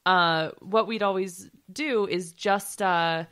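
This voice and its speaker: A woman's voice: medium pitch, slow pace, fairly bright tone, clear, resonant tone. A woman co-hosting a gaming podcast, telling stories in casual, informal chat. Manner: informal storytelling, peppered with "like". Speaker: podcast co-host.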